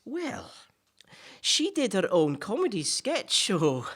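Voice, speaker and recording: A woman's voice: mid-range voice, wildly swooping pitch, takes it slowly, a bit bright, slightly rough, full character voice. Woman doing a cheeky actor guy hosting a podcast, audio play, voice bounces all over, bit hesitant then teasing. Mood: chatty, polite. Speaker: podcast host. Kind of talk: audio play dialogue in interview form.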